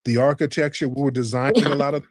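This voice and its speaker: A man hosts a heated live debate, lecturing and cutting in to make his point. His voice sits at an ordinary middle pitch. It is recorded close and dry, with no room sound. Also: steady tone, quick pace, darkish tone, no room sound. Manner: lecturing, interrupting, insistent. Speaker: stream host.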